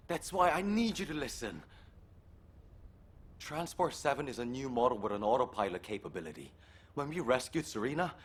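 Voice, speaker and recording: An actor playing a loyal, anxious young soldier in a video game, speaking with earnest emotion. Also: male voice, fairly high pitch, a bit bright, breathy edge, heavily acted. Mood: urgent pleading. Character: loyal, anxious young male soldier pleading with his captain.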